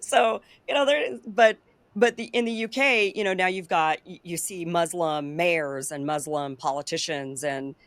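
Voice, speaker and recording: A woman's voice: lowish voice, measured pace, no echo. A woman hosting a political talk show, chatting in an opinionated, loose way and laughing easily. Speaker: show host. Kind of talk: casual political chat.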